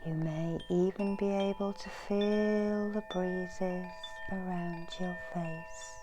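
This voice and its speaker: A middle-aged woman narrating an ASMR guided meditation in a slow, hushed, soothing manner, often slipping into whispers. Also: fairly low pitch, fairly even intonation, music in the background. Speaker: guided meditation host, Reiki healer. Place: indoors in a small room. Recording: clear background noise and ambience.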